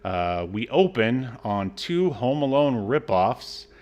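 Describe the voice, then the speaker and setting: A man's voice: medium pitch, big pitch swings, takes it slowly, a little mellow. A man co-hosting a podcast, recapping a film in a casual, mocking and sarcastic way. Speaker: podcast co-host recapping a movie.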